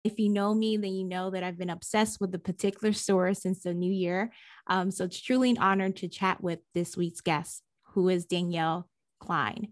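A woman's voice: lowish voice, fairly even intonation, moderate pace. A woman hosting a podcast, welcoming listeners and introducing her guest in an upbeat way. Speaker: podcast host. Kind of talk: podcast interview.

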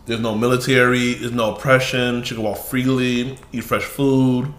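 A man in his forties whose first language is Yoruba, raised in Chicago, chatting as a podcast guest in a lively, joking way with many fillers. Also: mid-range voice, moderate pace. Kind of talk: casual chat. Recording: echoey room with faint noise.

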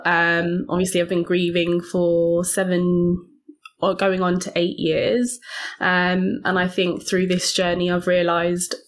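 Hesitant woman, lowish voice. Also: monotone. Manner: soft-spoken, hesitant, many pauses and fillers. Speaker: podcast guest. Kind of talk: reflective personal interview.

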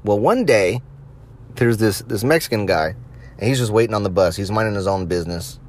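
A man's voice: lowish voice, expressive, a little fast. Casual, crude-talking male podcaster. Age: late 20s to early 30s. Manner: crude, swearing, amused by own stories. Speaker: podcast host. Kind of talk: casual anecdotal storytelling to listeners.

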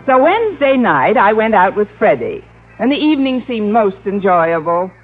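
An actress playing a wry, sensible single schoolteacher in a radio sitcom, narrating and talking with dry wisecracks. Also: female voice, medium pitch, sing-song, moderate pace. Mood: wry narration. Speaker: star and narrator of the comedy. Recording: muffled old radio recording, studio audience.

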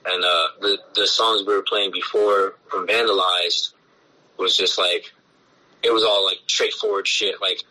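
Forceful low-voiced man, rambling casually. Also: fairly even intonation, a little slow, low-quality recording. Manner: loose and rambling, heavy swearing and filler words. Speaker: punk band bassist, interview guest. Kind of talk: casual podcast conversation.

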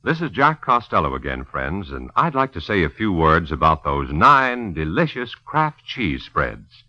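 A dull, dry old radio recording features an American male announcer with a low, very sharp, punchy voice. His pitch moves in big lively swings as he delivers scripted copy with polished emphasis. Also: measured pace. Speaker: announcer and narrator. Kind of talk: vintage radio ad read and scripted narration.

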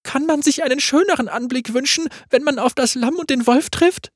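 A man voicing a frightened young actress who speaks in a high, stammering, theatrical way, with a husky tone. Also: moderate pace, no room sound, clean studio sound. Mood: trembling wistful. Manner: high, stammering, grand stage delivery.

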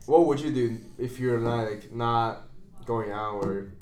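A young man hosts a relaxed student podcast, talking informally and earnestly as if giving advice. The room around him is noticeably echoey. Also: mid-range voice, a little slow. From China. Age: young adult. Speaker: podcast host, college student. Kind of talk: casual podcast chat. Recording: roomy echo.

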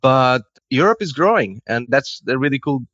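A man's voice: medium pitch, expressive, moderate pace, a bit bright, dry recording, muffled recording. A man from Portugal with a Portuguese accent, speaking conversationally as an interview guest. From Lisbon, Portugal. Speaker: guest, video game character artist.

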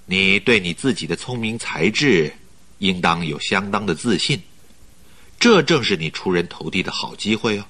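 An actor in a Mandarin radio drama playing a polite, flattering company recruiter who talks in a measured, persuasive way. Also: male voice, deep voice, exaggerated voice. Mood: coaxing. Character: smooth-talking male company recruiter, flattering, persuasive schemer.

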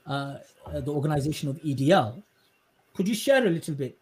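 Higher-voiced man, effusive podcast host. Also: expressive, fairly quick, darkish tone, slight background noise. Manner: effusive, emphatic, wordy. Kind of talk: conversational interviewing.

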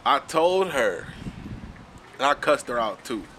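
Young man, podcast co-host, relaxed profane storytelling, mid-pitched voice, faint background hiss. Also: slow. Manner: laid-back, profane, chuckling storyteller.

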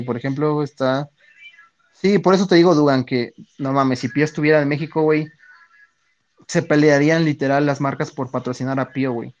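A man's voice: on the high side, a little mellow, no echo. Slangy Mexican guy chatting with viewers. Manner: slangy, profane, reads and answers chat. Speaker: streamer. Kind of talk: casual chat with viewers. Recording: dull lo-fi dry sound.

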